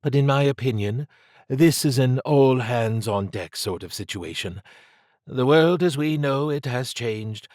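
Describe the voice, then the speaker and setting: A man's voice: mid-range voice, lively intonation, unhurried, darkish tone, no echo, studio quality, voice pushed up, lightly acted. A man voicing an authoritative, wry woman who commands a secret organisation, in audiobook dialogue. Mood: grave, resolute. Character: brisk, resolute woman commander of a secret foundation.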